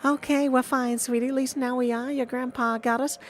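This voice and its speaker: A man's voice: very high-pitched, flat delivery, a little fast, a little hiss or hum, pitched above the performer's own voice. A man voicing a breezy, folksy goddess of fate from a video game, chummy and offhand. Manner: offhand, chummy, calls listener sweetie. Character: breezy, folksy female Fates, casual elder goddesses. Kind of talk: video game character practice.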